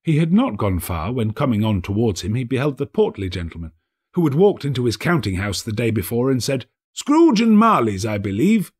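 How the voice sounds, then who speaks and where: A man narrating a classic story as an audiobook, with theatrical, measured storytelling. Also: mid-range voice, sing-song, a little mellow, husky. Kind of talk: expressive literary narration.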